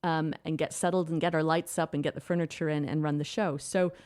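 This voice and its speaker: A Canadian woman with a fairly low voice, speaking in a radio studio. She explains her work as a director with enthusiasm, in long, flowing answers. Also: steady tone, brisk, darkish tone. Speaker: theatre director, guest. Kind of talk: radio interview.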